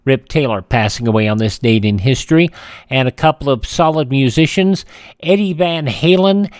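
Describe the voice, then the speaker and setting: A man hosting a radio show, reading out a list of dates and names in a halting, deliberate way. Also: mid-range voice, no room sound, clean studio sound. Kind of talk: radio almanac narration.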